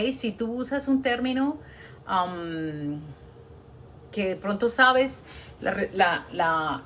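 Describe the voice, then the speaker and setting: A woman's voice: mid-range voice, fairly bright tone, a little hiss or hum. A Colombian woman, a nurse working abroad, giving earnest, encouraging advice in a casual live stream chat, often restarting her sentences.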